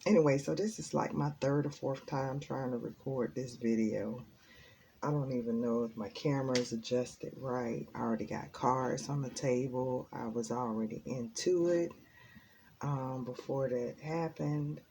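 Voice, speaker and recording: A woman giving a casual spiritual tarot reading in a raspy, just-woken voice, rambling and earnest with many ums. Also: very deep voice, steady tone, normal speed, dark tone, slight background noise. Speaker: tarot reader.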